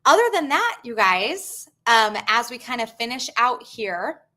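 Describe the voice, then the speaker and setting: Bright, chatty woman hosting a tour stream. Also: a little high, sing-song, measured pace. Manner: chatty and upbeat, frequent ums, reads viewer comments aloud. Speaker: travel company host. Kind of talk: casual live-stream tour presentation.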